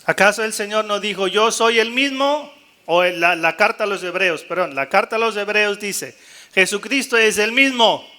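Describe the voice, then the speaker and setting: High-pitched, bright-voiced male priest preaching. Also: normal speed. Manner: jokey and animated, mimicking doubtful priests, rallying listeners. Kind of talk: live sermon-style talk to a gathered congregation.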